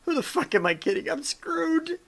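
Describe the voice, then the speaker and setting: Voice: high-pitched, sing-song, normal speed, voice pushed up. A performer voicing a nervous, cheeky young male army recruit in a video game, speaking in formal soldier phrases. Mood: pleading.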